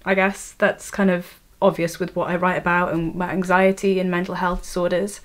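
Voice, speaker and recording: A woman's voice: on the low side, flat delivery, moderate pace. An eighteen-year-old woman with a Welsh accent, talking to a camera on a tripod in her bedroom, nervous and self-deprecating, often stopping and restarting. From Wales. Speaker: student vlogger. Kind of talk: casual vlog to camera.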